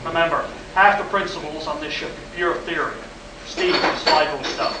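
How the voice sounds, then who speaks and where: Man as an earnest, high-voiced rocket project chief, echoey. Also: normal speed, close to an everyday voice. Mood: earnest, warning. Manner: urgent, insistent instructing. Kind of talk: vintage science-fiction radio drama acting. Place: convention hall, live stage performance. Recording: echoey hall, distant mic, audience noise.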